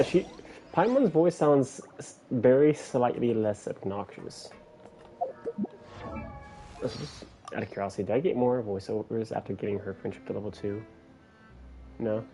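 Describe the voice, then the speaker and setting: A man's voice: lowish voice, lively intonation, unhurried, pauses often, mellow, soft background music. A man doing casual live game commentary, muttering wry reactions as he plays. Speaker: game streamer.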